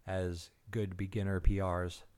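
A man's voice: low-pitched, flat delivery, unhurried, darkish tone. A man co-hosting a developer podcast, talking in an easygoing, joking way with lots of fillers. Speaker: podcast co-host. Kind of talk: casual chat.

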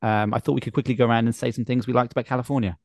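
A man's voice: medium pitch, steady tone, very fast, no room sound. A man hosting a podcast in casual banter, joking with dry understatement. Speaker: podcast host.

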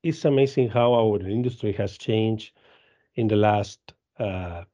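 A man in his fifties from Argentina with an Argentine Spanish accent, answering interview questions in halting English with many repeats and fillers. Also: fairly low pitch, warm tone, dull audio. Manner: halting, frequent repeats and "uh", laughs easily. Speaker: guest, dairy genetics business director.

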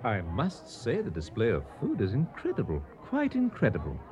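An actor playing a suave, reserved food critic in a comedic radio drama, speaking in a formal, measured, bemused manner. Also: male voice, medium pitch, wildly swooping pitch, a little mellow. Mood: bemused, polite.

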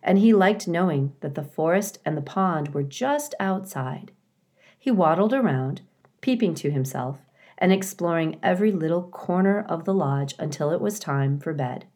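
Low-pitched woman reading a children's story. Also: lively intonation, moderate pace, a little mellow. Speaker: storybook reader. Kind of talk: scripted children's book narration.

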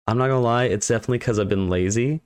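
A man hosting a casual interview, chatty and upbeat with quick reactions. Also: medium pitch, smooth, no room sound. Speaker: podcast host.

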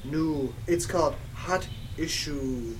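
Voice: mid-range voice, takes it slowly, noisy background, close to an everyday voice. A person reading aloud as a moody, secretive teenage goth boy, cocky and conspiratorial.

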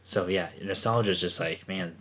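A man in his thirties with an Iowan accent, co-hosting a podcast with dry, deadpan joking. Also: low voice, moderate pace. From Iowa, United States. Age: 30s. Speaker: podcast co-host. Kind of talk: casual chat.

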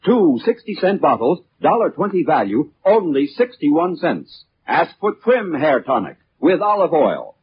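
A man with an American accent reading a scripted sales pitch as a 1940s radio commercial announcer. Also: big pitch swings, measured pace, few pauses. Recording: muffled 1940s radio recording, faint noise.

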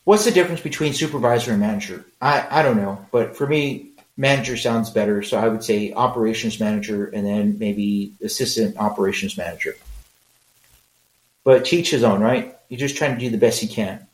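A man's voice: fairly low pitch, a little fast, reverberant, low-quality recording. A middle-aged man with a Mexican accent, talking frankly and conversationally in a solo podcast, with rambling asides. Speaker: podcast host.

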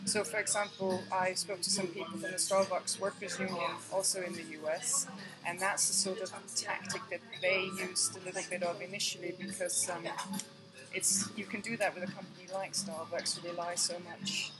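Thoughtful lower-voiced woman professor. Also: little pitch movement, over loud music, poor audio. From Denmark. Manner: thoughtful, measured, many fillers. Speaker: professor, guest. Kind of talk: podcast interview. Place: tea room.

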